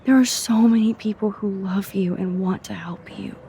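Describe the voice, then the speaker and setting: A woman playing a caring, nervy teenage student photographer in a video game, earnest and gently wry in a naturalistic style. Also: mid-range voice, steady tone, normal speed, slight background noise, lightly acted. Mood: tender, supportive.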